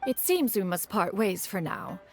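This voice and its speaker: A woman's voice: medium pitch, very animated, a little slow, darkish tone. A lofty immortal crane lady. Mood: composed. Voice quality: formal, archaic, self-assured. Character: stately immortal crane adept woman, lofty, precise. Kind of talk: game voice acting. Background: game music.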